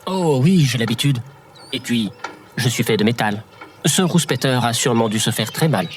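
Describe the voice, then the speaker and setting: An actor voicing a gentle, courteous robot in an audio drama, polite and placid. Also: male voice, medium pitch, animated, normal speed, slight background noise, natural voice. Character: gentle, courteous male metal robot who watches boats.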